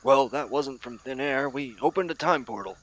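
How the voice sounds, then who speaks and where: A man's voice: mid-range voice, lively intonation, moderate pace, fairly bright tone, lightly acted. A man playing an eager, earnest time-travel agent sidekick in a comedic audio drama.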